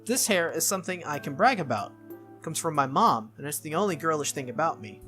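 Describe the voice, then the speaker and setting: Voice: mid-range voice, lively intonation, brisk, fairly bright tone, faint music behind, natural voice. A performer voicing a cheerful, childish young noble girl from a visual novel, eager, innocent and a little petulant. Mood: innocent, happy.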